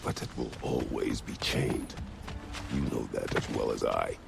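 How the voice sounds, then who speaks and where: Extremely deep, gravelly brooding warrior. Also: male voice, measured pace, full character voice. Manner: terse, grave, solemn. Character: stern, brooding, battle-worn male warrior of few words. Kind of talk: video game voice acting.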